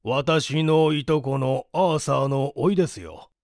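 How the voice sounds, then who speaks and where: A man's voice: mid-range voice, takes it slowly, creaky, a little mellow, no echo, studio quality. A man voicing a hearty, commanding but courteous landowner in a mystery audiobook. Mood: wary, deliberate. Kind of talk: audiobook character acting.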